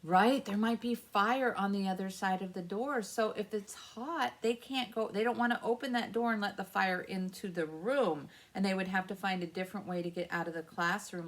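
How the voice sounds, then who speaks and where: An American woman hosting a children's story time, asking simple questions and patiently explaining the answers as she goes. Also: mid-range voice. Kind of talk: interactive read-aloud and teaching for young children.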